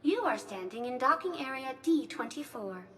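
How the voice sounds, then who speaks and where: A woman's voice: high-pitched, sing-song, moderate pace, a bit bright. A woman playing a polite virtual information guide on a space station in a game, formal and precise, like a scripted announcement. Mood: formal, helpful.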